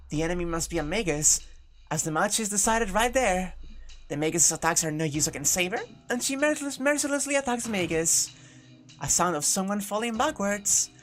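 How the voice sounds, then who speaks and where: Lower-pitched woman reading a game aloud. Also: a bit bright. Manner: informal, sweary, jokey asides. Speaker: host of a game playthrough. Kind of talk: reading a visual novel aloud with casual commentary. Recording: music playing behind the voice. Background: game music.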